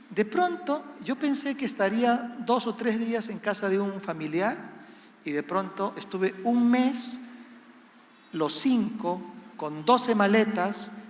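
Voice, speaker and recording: A man in his early fifties with a Latin American Spanish accent, giving an emphatic missionary testimony as a sermon, repeating phrases for effect and checking in with his listeners. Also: high voice. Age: early 50s. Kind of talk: sermon, personal testimony to a congregation. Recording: echoey hall with long reverb.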